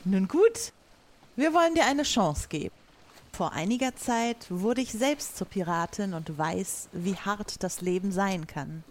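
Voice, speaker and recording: Female pirate captain, acted by a woman, improvised audio play, commanding, ceremonious, old-fashioned wording, mid-range pitch. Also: lively intonation, measured pace, slight background noise, lightly acted. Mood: solemn, commanding.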